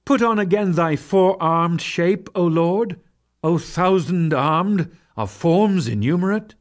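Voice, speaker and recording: A man voicing an awestruck, reverent warrior in a dramatic scripture reading, fervent and pleading, with declamatory pauses. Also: high-pitched, expressive, pitched above the performer's own voice.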